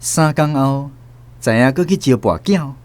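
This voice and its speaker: A man's voice: medium pitch, expressive, measured pace, darkish tone. A man with a Taiwanese accent narrating a scripted radio drama as a dramatic storyteller.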